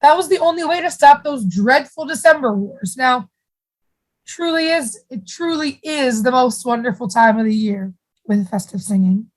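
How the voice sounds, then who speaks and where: Actress as a gushing, pushy sitcom mom, higher-pitched. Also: female voice, expressive, normal speed, low-quality recording. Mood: primly chiding. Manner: gushing, primly chiding. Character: saccharine, pushy sitcom mother obsessed with holiday cheer. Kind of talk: comedic radio play acting.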